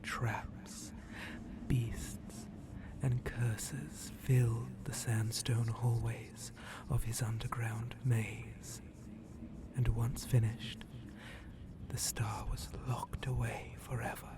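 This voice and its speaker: Male storyteller, whispered ASMR narration, extremely slow, solemn, measured. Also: mid-range voice, level tone.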